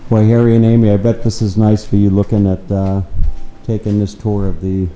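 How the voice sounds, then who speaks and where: Upbeat male travel vlogger, low-ish voice, walking-tour patter, noisy hotel room behind. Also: flat delivery, brisk, dark tone. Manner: chatty, upbeat, lots of "uh" and repeats. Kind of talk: casual walking tour narration. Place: hotel breakfast hall and lobby, indoors.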